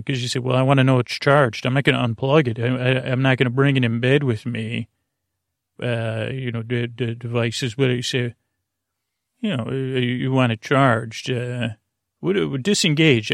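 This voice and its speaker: A man with a creaky voice hosting a sleep podcast, meandering and halting through a soothing bedtime monologue full of tangents and self-corrections. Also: mid-range voice, no room sound, clean studio sound. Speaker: podcast host. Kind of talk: rambling bedtime monologue to lull listeners to sleep.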